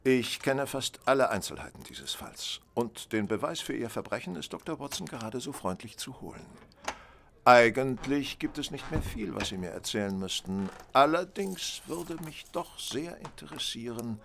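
An actor playing a shrewd, composed gentleman detective in a German radio play, measured, theatrical and politely sardonic. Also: mid-range voice, sing-song, vocal fry, a little hiss or hum. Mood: calm, commanding.

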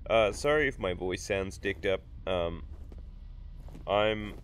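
A man's voice: low voice, lively intonation, slow pace, a bit bright, a little hiss or hum. A man in his thirties with a sick, nasal voice from blocked sinuses, rambling and joking through casual game commentary at a home desk. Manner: rambling, jokey, swears freely. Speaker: gaming YouTuber. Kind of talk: casual let's play commentary.

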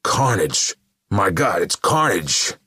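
Man playing awed, rough-voiced convict. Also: deep voice, normal speed, dry recording, pitched above the performer's own voice, exaggerated voice. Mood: shocked awe. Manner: excited, slangy, awed. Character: awestruck male serial killer in a prison mob.

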